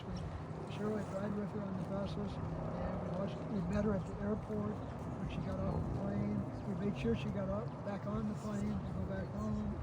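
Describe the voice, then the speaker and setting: A man hosts an informal outdoor memorial gathering, speaking plainly to those present. The recording has very heavy noise, with no proper microphone. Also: high voice. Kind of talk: informal spoken tribute and hosting. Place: outdoors in an iris garden. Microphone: distant camera microphone, no working PA.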